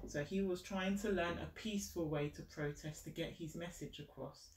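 A woman's voice: lowish voice, normal speed, darkish tone. A woman in her thirties reading a picture book aloud to a child, encouraging and explaining things simply. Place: living room sofa at home, indoors. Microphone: camera a step or two away. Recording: echoey room, distant mic.

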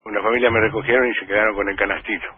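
A man with a provincial Argentine accent talks as a comic guest on the radio over a narrow, dry phone line. His voice is husky, and he rambles, repeating words as he goes. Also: on the low side, fairly even intonation, racing, no room sound. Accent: Argentine, provincial Santa Fe. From Santa Fe, Argentina. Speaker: humorist and overnight radio presenter, interviewed by phone. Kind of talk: comic chat, telling jokes. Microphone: phone call.

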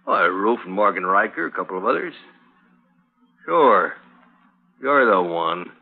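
A man's voice: low-pitched, big pitch swings, gravelly, heavily acted. A man playing a sneering saloon bully who mocks and taunts in an old radio western. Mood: taunting. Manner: drawn-out mocking delivery. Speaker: radio drama actor. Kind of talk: 1950s radio western acting. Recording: noisy, muffled old radio broadcast.

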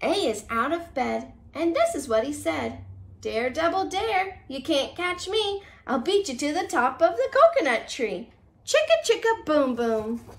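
A woman preschool teacher reads a picture book aloud in a very high voice. Her delivery is slow and sing-song, with big swoops of pitch. She exaggerates the storytelling for young children. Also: sing-song. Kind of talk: animated children's read-aloud. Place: small living room, seated on a couch.

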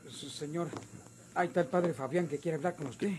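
Man playing high-voiced, flustered servant. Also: fairly quick, background noise, lightly acted. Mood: nervous, deferential. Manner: hesitant, stammering. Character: timid, flustered, obedient male servant. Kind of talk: golden-age radio drama acting.

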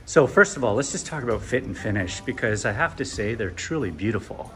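A man's voice: fairly low pitch, lively intonation, fairly quick, a bit bright, soft background music. A man casually interviewing while he films, chatty and appreciative. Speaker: interviewer filming on camera. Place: hotel conference room at a trade show. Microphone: behind the camera, close to its mic.

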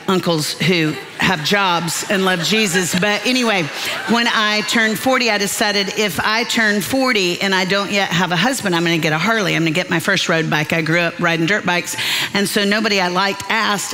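A woman, praying and then telling stories as a Bible teacher in a folksy, joking way on a large church stage. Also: lowish voice. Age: 50s. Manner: animated, folksy, self-deprecating humor. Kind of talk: prayer and sermon storytelling. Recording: long hall reverb with soft music.

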